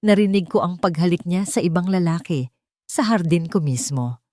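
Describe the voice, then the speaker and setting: A woman voicing an earnest, tender wealthy man in a romance audio story, speaking with slow, intimate emotion. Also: lowish voice, lively intonation, darkish tone, no echo, clean studio sound, lightly acted. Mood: wounded, grave.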